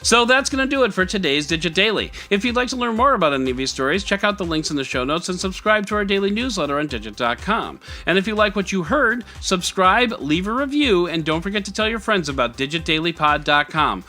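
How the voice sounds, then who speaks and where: A man's voice: high voice, animated, fast, few pauses, bright, forceful tone. A man hosting a scripted tech news podcast in a conversational, sarcastic way with wry asides. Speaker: podcast host.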